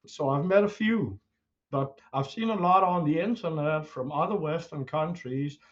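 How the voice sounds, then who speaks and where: A man in his forties, born in Iran and not an English speaker, calling in to a live discussion over a new webcam, talking haltingly with restarts. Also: a little high. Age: 40s. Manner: hesitant, restarting, self-deprecating. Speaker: caller. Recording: lo-fi webcam audio.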